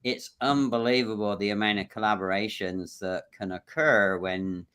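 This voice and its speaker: A man hosts a casual livestream over a video call. His voice is bright and forward, and he jokes and chuckles as he drifts between thoughts. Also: on the low side, fairly even intonation, moderate pace, smooth. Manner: jokey, chuckles often, rambling. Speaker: livestream host.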